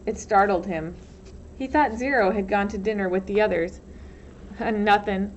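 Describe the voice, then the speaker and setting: A woman's voice: medium pitch, moderate pace. A woman reading a storybook aloud as narrator, expressively, like a teacher. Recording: dull lo-fi sound, faint noise.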